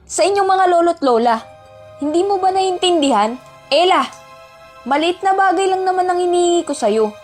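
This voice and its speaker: A woman's voice: extremely high pitch, expressive, moderate pace, long pauses. A woman acting a stern, old-fashioned Filipino mother who scolds her children in an amateur radio drama. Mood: scolding. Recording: music bed behind dialogue.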